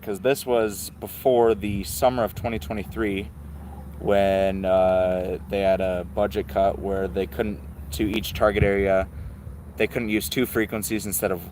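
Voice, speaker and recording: A man's voice: deep voice, noisy background. A man chatting casually and hesitantly as he hosts, rambling through commentary on recordings as a radio hobbyist. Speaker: host, shortwave radio hobbyist.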